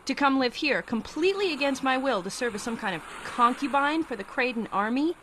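Woman as a high-voiced, defiant space commander. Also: lively intonation, a little fast, a bit bright, a little hiss or hum. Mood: arguing. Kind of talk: old-time radio sci-fi serial acting.

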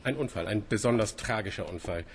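Radio play: measured, courteous senior researcher played by a man, deep-leaning voice, repeats himself, clearly noisy ambience. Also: close to an everyday voice. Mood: grave, courteous. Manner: formal, hesitant, repeating himself. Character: measured senior scientist at a marine institute, evasively courteous. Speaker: actor in a radio drama. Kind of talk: naturalistic German radio play acting.

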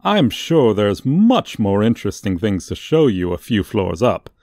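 Casting: male actor as sly villain tour guide, comedic audio roleplay; creaky voice, swooping pitch, sardonic showman delivery. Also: sing-song, darkish tone, no room sound. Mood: chatty, insincere. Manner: showy, drawn-out emphasis, dry asides. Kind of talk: comedic ASMR roleplay monologue.

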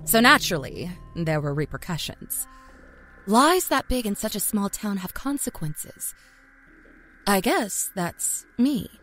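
A woman playing a sly, folksy small-town Southern woman, a self-confessed liar confiding her dark life story in a wry dramatic narration. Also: medium pitch, very animated, measured pace, faint music behind, poor audio. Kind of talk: dramatic first-person horror story narration. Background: musical score.

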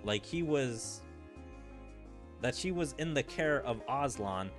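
A man's voice: mid-range voice, animated, takes it slowly, soft background music. A man gaming streamer giving casual, rambling playthrough commentary, thinking aloud in a puzzled way.

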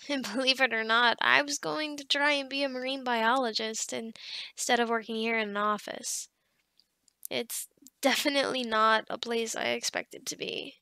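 A woman acting a kind, encouraging office boss in an ASMR roleplay, speaking softly and conversationally with hesitant pauses and sighs. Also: high-pitched, creaky, dry recording, heavily acted.

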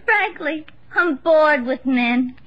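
A woman's voice: high-pitched, lively intonation, slow, fairly bright tone, slight background noise, exaggerated voice. An actress playing a brash, sardonic, man-hungry woman in a 1950s radio sitcom. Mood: boastful. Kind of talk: 1950s radio comedy acting. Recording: dull 1950s radio recording.

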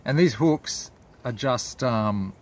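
A man's voice: mid-range voice, lively intonation, slow pace. A man in his forties with an Australian accent, talking casually and unscripted as a laid-back, colloquial vlogger. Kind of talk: casual, unscripted vlog talk.

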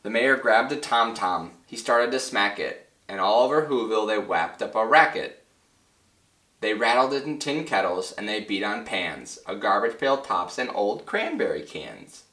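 A man in his twenties reading a children's story aloud as a teacher, expressive and clearly phrased for young children. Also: lowish voice, a little slow. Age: 20s. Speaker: first grade teacher. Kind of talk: children's read-aloud narration. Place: small indoor room with bare wall and whiteboard. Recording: roomy, slightly distant mic.

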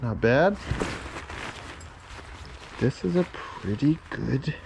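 A man picks through a storage unit and talks slowly, half to himself, perking up when he finds something good. The recording comes from a camera on his head outdoors. Loud noise sits under his voice. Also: mid-range voice, lively intonation, creaky. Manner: unhurried, muttering to himself, upbeat when finding items. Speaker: reseller sorting a storage unit. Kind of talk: casual running commentary while digging. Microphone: head-mounted camera, point of view.

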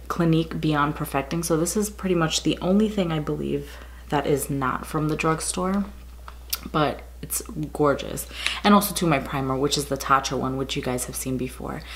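Raspy low-voiced woman beauty vlogger. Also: background noise. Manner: chatty, product-by-product rundown with frequent ums. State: raspy and muffled from a cold. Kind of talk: casual makeup tutorial chat. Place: bedroom with window blinds, indoors.

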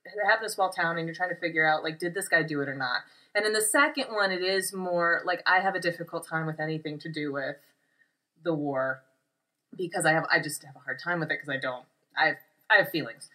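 A woman's voice: sits low, fast talker, sharp tone, low-quality recording. A woman chatting informally as a co-host of a podcast. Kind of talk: casual chat.

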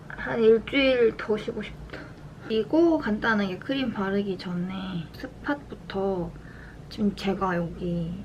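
Clear room noise sits behind a young woman with a mid-pitched voice. She talks in an unhurried, soft-spoken way, like a lifestyle vlogger chatting through her daily routine. Her delivery is casual and conversational. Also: highs cut off. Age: young adult. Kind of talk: casual chat to camera during daily routine.

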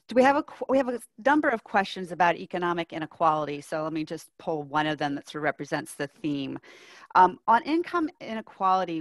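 A woman's voice: sits low, dry recording. A middle-aged woman moderating an interview in a conversational way, speaking on a headset during a video call. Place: indoor room with sloped ceiling, on a video call.

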